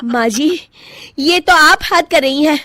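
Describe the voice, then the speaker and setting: Woman voicing a shrill, very high-pitched young daughter-in-law. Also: measured pace, background noise. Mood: indignant. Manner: whiny, melodramatic. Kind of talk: cartoon moral story acting.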